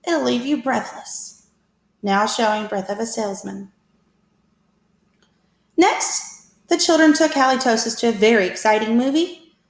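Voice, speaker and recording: A woman reading a picture book aloud to children as a teacher, with expressive, deliberate storytelling. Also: a little high, creaky. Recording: echoey room.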